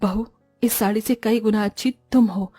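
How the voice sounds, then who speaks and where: A woman voicing a stingy, middle-aged Indian-family mother-in-law who speaks low, soft and weary. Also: steady tone, normal speed, no room sound, soft background music, lightly acted. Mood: rueful.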